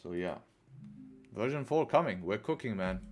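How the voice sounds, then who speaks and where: A man's voice: fairly low pitch, lively intonation, moderate pace, darkish tone, soft background music. Chatty man hosting a trading stream. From England. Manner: chatty, repeats phrases, answers chat questions. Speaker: livestream trading host. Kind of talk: casual live-stream chat.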